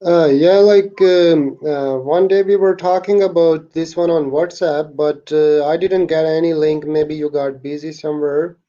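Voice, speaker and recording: A man on a video call speaks hesitantly, stopping often for fillers. His voice is mellow and slightly high, and his laptop mic makes the sound dull and lo-fi. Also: fairly even intonation, measured pace, hardly any pauses. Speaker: new participant. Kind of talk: casual chat.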